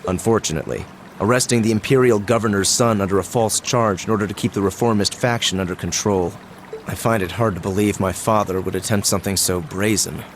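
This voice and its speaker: A man's voice: on the low side, a little fast, natural voice. An actor playing a proud, aloof young nobleman in a video game, formal and haughty with a dry, sardonic edge. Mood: bitter, composed. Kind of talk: dramatic video game voice acting. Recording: clear water noise behind voice. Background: running water ambience.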